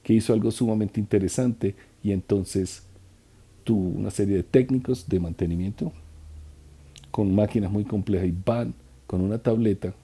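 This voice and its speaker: Dark husky-voiced male interpreter. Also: lowish voice. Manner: halting, with restarts and trailing phrases. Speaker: simultaneous conference interpreter. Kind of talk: live interpretation of a conference talk.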